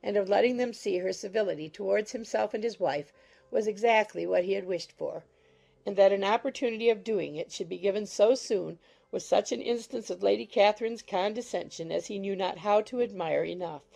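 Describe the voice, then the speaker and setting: A woman narrates literary fiction in a mid-pitched, mellow voice with noticeable creak. It is a scripted audiobook reading. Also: a little fast.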